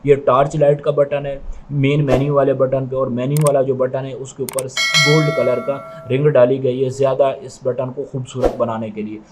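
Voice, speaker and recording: A man's voice: medium pitch, little pitch movement, normal speed, noisy background. A man giving product review commentary, explaining methodically and repetitively, step by step.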